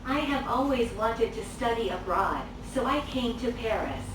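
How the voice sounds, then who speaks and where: A woman's voice: on the high side, moderate pace. A woman playing a cheerful student, Jennifer. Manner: even, textbook phrasing. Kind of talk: scripted English dialogue video. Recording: roomy, distant, noisy playback.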